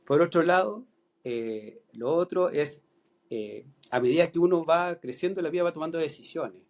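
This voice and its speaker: A man's voice: a little high, moderate pace, darkish tone. A middle-aged Chilean man with a Chilean accent, a philosophy teacher talking on a podcast, thoughtful and full of hesitations and restarts. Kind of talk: podcast conversation.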